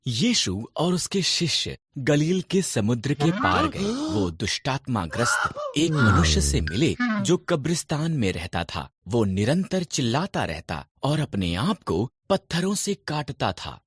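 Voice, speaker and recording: A man narrating scripted Bible stories in a measured, expressive storytelling manner. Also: medium pitch, slightly rough, dry recording, studio quality.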